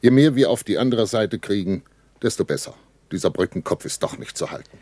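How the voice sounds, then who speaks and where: A man's voice: on the low side, expressive, fairly quick, darkish tone, no echo. A sceptical army captain in a 1960s radio drama. He gives orders curtly and with dry, resigned irony, in a studio sound with very little room. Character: weary, sceptical army captain commanding a bridgehead. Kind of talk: 1960s German radio play acting.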